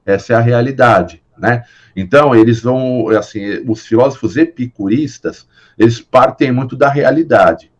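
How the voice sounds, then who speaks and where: A man's voice: mid-range voice, moderate pace. Brazilian man teaching philosophy, dull audio. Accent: Brazilian Portuguese. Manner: explanatory, many fillers and tag questions. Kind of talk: online class lecture.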